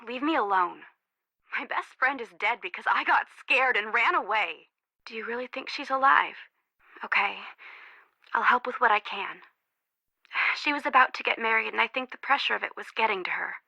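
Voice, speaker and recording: Expressive, jumpy young woman, bright voice. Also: a little high, gravelly, no room sound, exaggerated voice, rough recording. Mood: guilty, defensive. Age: young adult. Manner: casual, expressive, sighing, dramatic. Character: excitable, easily scared young woman, shaken best friend. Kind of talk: naturalistic video game voice acting.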